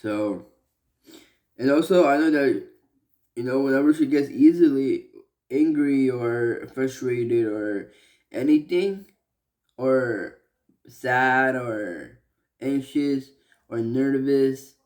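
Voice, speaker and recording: A young man from Malaysia talking earnestly in a personal vlog, halting and restarting with many fillers. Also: mid-range voice, a little mellow. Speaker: vlogger.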